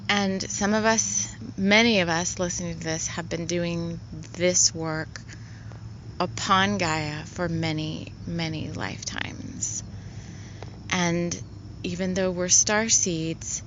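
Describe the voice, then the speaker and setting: Slow, soft-spoken woman healer. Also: on the low side, fairly even intonation, slight background noise. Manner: rambling, soft-spoken, many fillers. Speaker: spiritual healer. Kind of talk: casual spiritual talk.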